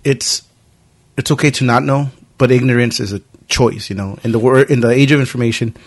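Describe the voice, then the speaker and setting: Animated, swearing podcast host in his 30s. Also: mid-range voice, fairly quick. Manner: animated storytelling, acting out quoted friends; casual, heavy swearing. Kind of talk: casual podcast chat.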